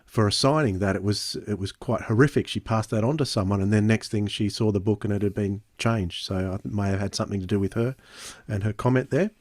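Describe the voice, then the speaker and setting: A man with a lowish voice chats casually as a podcast reviewer. He repeats words as he thinks, and the sound is close and dry. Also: brisk, few pauses, darkish tone, no echo.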